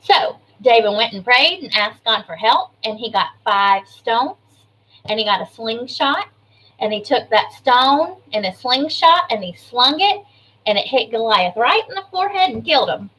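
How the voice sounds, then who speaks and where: A woman kindergarten teacher reading an information book aloud to young children, adding chatty asides, simple explanations and questions in an expressive way. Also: on the high side, moderate pace, rough recording.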